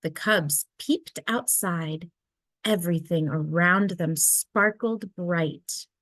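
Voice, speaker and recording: A woman reading a children's picture book aloud in an expressive storytelling style, drawing out the ends of her phrases. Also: fairly low pitch, slow pace, no room sound.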